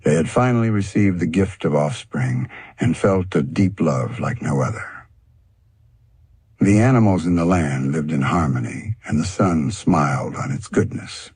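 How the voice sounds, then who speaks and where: A man narrates a storybook audiobook. His voice is deep and extremely husky, recorded dry with hardly any room sound. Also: expressive, measured pace, a little mellow, no room sound.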